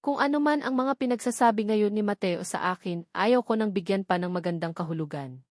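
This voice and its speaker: A woman's voice: medium pitch, a little fast, no room sound, studio quality. A woman with a Filipino accent reading scripted first-person story narration as an audiobook narrator.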